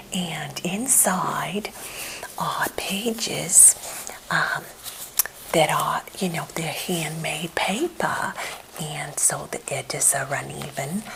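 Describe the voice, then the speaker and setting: A middle-aged woman playing a quirky, chatty therapist in an ASMR role play, whispering softly and hesitantly in a reassuring way. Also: low voice, gravelly, background noise, exaggerated voice. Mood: hushed, friendly. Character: quirky, soothing, chatty female therapist welcoming a famous client. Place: indoor room at home.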